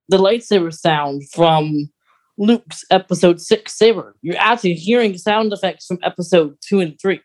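Podcast co-host, medium pitch, casual fan discussion, conversational delivery.

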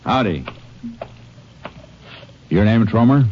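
An actor playing a stern Texas Ranger who talks in a clipped, commanding way, in 1940s radio acting style. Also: male voice, fairly low pitch, expressive, takes it slowly, a little hiss or hum. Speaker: narrator and lead. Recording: dull old radio recording.

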